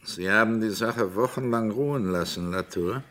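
An actor playing a brisk, matter-of-fact investigator in a crime radio drama. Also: male voice, fairly low pitch, fairly bright tone, lightly acted. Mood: businesslike.

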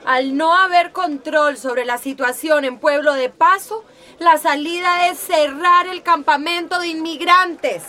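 Radio drama: actress as indignant, self-righteous townswoman, high voice, haughty and combative. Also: measured pace, pushed and bright, heavily acted. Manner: haughty, argumentative, exclamatory. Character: scornful, indignant townswoman campaigning against migrants. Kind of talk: Spanish-language radio drama acting.

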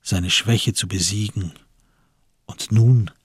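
A man narrating a literary radio drama in a measured, grave storytelling manner. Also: lowish voice, steady tone, breathy edge, dry recording, clean studio sound.

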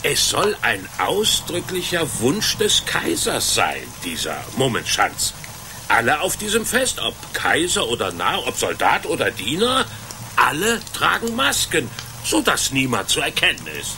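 Bright, puzzled medieval blacksmith. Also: male voice, on the high side, huge pitch swings, a little slow, a little hiss or hum, heavily acted. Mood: bemused. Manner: hesitant, grumbling, folksy. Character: simple, puzzled, good-natured medieval blacksmith. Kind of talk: German radio play acting.

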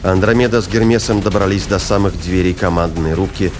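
Low-pitched male audio drama narrator. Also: level tone, brisk. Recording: prominent music and battle effects behind.

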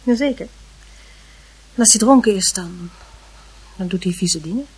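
A woman playing a reassuring, slightly prim mother in a radio play, explaining things hesitantly with a slightly husky voice. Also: mid-range voice, moderate pace, darkish tone. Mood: confiding.

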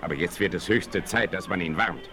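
An actor playing a bold, principled frontier hunter with a firm, authoritative manner in a 1960s radio play. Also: male voice, low-pitched, brisk, pushed and bright. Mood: calm, respectful. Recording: vintage vinyl radio play, faint noise.

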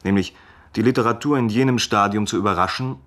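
A man giving formal scripted radio commentary in measured phrases. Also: lowish voice, a bit bright.

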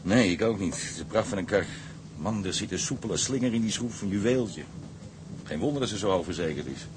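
An actor playing a hearty, boastful, gossipy ship's engineer in a Dutch radio drama, talking in a chummy, colourful, colloquial way. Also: male voice, low voice, lively intonation, brisk. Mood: proud, hearty. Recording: noisy engine ambience behind.

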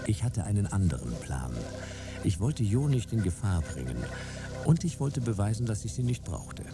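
Noir detective narrator for sci-fi radio play; low, animated delivery, wry, clipped phrases. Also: male voice, normal speed, over loud music. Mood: dry, sardonic. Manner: terse, wry. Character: world-weary male detective narrating his case.

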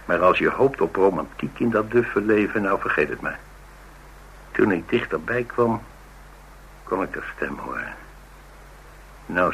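An actor playing an inquisitive, talkative witness who recounts suspicious events wryly in a radio drama. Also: male voice, low voice, gravelly, fairly bright tone, slight background noise, heavily acted, poor audio. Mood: conspiratorial. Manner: wry, colloquial storytelling.

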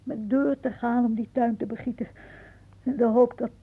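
An actress playing a fretful, suspicious older aunt with a sharp tongue in a radio play. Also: female voice, on the high side, fairly even intonation, moderate pace, slightly rough. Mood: fretful, resigned. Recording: muffled phone-like old radio recording.

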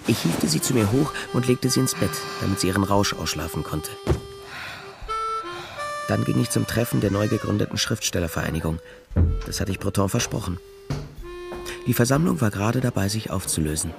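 A man's voice: fairly low pitch, vocal fry. An actor playing a wry, defiant private detective who talks and narrates his case in a radio crime play.

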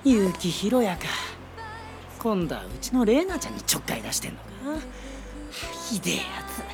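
A brash young woman in an anime radio drama, teasing and blunt. Her voice swoops in a lively way over clear background music. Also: mid-range voice, moderate pace. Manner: blunt, breezy. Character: brash, teasing older colleague, a young female model.

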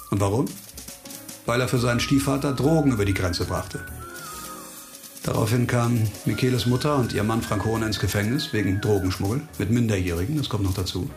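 An actor playing a dogged, sardonic police investigator in a radio crime drama, terse and assured. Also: male voice, mid-range voice, lightly acted.

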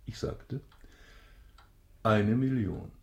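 An actor playing a self-important banker baron, formal and stiffly deferential, in a German radio drama. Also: male voice, lowish voice, drawn-out words, creaky, echoey room, full character voice. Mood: condescending.

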